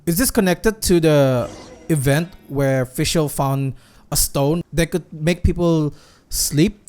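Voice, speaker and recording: A woman's voice: low voice, takes it slowly, a little mellow. A young adult woman giving casual gameplay commentary, blunt, incredulous and jokey. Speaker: game streamer.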